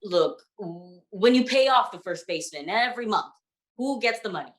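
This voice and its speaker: A woman acts out a confused male sidekick in a 1940s radio comedy. The delivery is halting and questioning, with an exasperated edge, in a mid-range voice. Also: medium pitch, expressive, close to an everyday voice. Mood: puzzled, exasperated.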